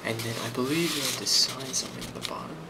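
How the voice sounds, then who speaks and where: A man playing a friendly, rambling office boss in an ASMR role play, speaking softly and hesitantly with a reassuring manner and a creaky voice. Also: mid-range voice, fast, close to an everyday voice. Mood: calm, reassuring. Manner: soft-spoken, hesitant, reassuring. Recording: loud constant background noise.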